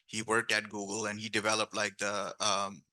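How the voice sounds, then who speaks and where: A man's voice: on the low side, monotone, moderate pace, sharp tone. A man speaking on a panel, thinking aloud with many restarts and fillers. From grew up speaking Urdu. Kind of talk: panel discussion.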